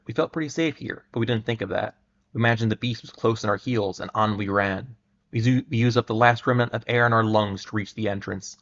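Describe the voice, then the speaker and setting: A man hosting a livestream and reading aloud, haltingly, often stumbling over and repeating his words. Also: fairly low pitch, a bit bright, highs cut off. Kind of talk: dramatic reading and casual livestream chat.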